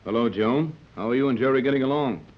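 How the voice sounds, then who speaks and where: An actor playing a steady, fatherly sea captain who reasons things out, in 1930s radio serial style. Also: medium pitch, lightly acted. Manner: measured, reassuring, explanatory. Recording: dull old 1930s radio recording.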